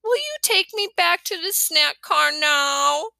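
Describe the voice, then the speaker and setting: A woman voicing an excitable, pushy little boy in a storybook reading. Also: very high voice, slow pace, vocal fry, no echo, voice pushed up, full character voice. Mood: excited. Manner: eager, wheedling.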